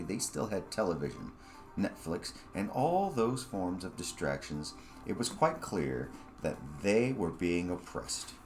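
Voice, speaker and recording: A man reading his own short story aloud as a first-person narrator, with halting phrasing and dramatic pauses. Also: sits low.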